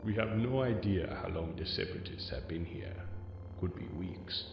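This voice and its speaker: A deep, creaky male voice of an actor plays a wary, sardonic Jedi warrior in an audio drama. It sounds muffled and heavily reverberant, with dry sarcasm in the delivery. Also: normal speed, background noise.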